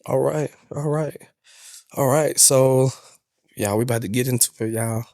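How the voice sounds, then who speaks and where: Male podcast host, gravelly edge, casual and slangy, dry close sound. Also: medium pitch, moderate pace, mellow, no room sound. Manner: laid-back, slangy, talks to listeners as y'all. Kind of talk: casual interview chat.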